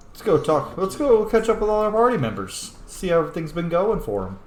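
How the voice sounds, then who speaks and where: Animated man casually commenting on a game. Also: high voice, brisk, reverberant, a little hiss or hum. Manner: playful, talking back to the game. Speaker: game streamer.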